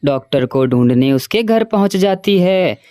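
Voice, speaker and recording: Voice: medium pitch, lively intonation, unhurried, warm tone, dry recording, clean studio sound. A narrator telling a children's cartoon story in a plain storytelling way.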